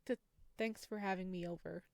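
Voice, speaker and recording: A woman voicing a shy, flustered young male neighbour in a visual novel, hesitant, halting and soft-spoken. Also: fairly low pitch, fairly even intonation, gravelly, no echo, clean studio sound.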